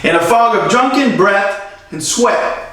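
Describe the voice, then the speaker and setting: A distant, heavily reverberant recording carries a man performing a young male defense lawyer in a dramatic courtroom monologue. His voice sits a little high. He addresses the jury with earnest, solemn oratory, deliberate and full of feeling. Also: expressive, a bit bright. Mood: grave, pained storytelling. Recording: very echoey room, far from mic.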